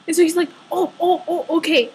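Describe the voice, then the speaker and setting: A young woman telling a casual personal story in an animated, exaggerated way, acting out her own asides as a storytelling vlogger. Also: very high voice, brisk.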